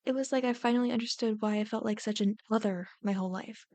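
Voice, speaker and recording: A woman's voice: on the high side, steady tone, quick pace, darkish tone, dry recording, close to an everyday voice. Woman playing playful non-binary friend, dry close sound. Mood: sincere. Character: warm, jokey non-binary young adult friend. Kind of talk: ASMR roleplay.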